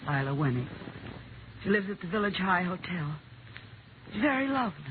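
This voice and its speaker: An actress playing a bitter, lonely, slangy former waitress turned widow in a 1950s radio drama. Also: female voice, on the low side, sing-song, normal speed. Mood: wistful, blunt. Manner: world-weary, slangy, blunt. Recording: tinny, noisy old radio recording.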